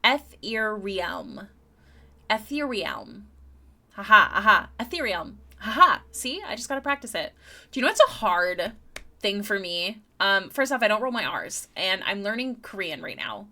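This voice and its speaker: Bright-voiced young woman chatting while drawing. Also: medium pitch, sing-song, measured pace. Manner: chatty, stumbles and repeats words, laughs often. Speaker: streaming artist. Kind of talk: casual live-stream chat while drawing.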